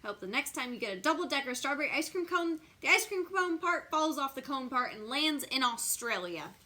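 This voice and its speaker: A woman voicing a sulky young boy from a storybook, complaining in a whiny, indignant, drawn-out way. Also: high-pitched, lively intonation, pushed and bright, voice pushed up. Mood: spiteful. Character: grumpy, sulky young boy complaining about his bad day.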